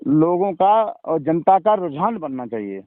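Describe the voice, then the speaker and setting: Higher-voiced man lecturing over the phone. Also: sing-song, unhurried. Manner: didactic, repeatedly seeking agreement. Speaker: religious storyteller. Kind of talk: informal phone conversation. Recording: narrow-band phone call recording.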